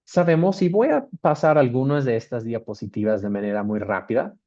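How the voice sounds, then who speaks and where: A man with an Australian accent presents on a video call, his voice mellow and rather dark. He hesitates often, filling gaps and restarting phrases. The audio comes through dull and muffled. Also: medium pitch, fairly quick. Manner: hesitant, with many fillers and restarts. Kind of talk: webinar presentation.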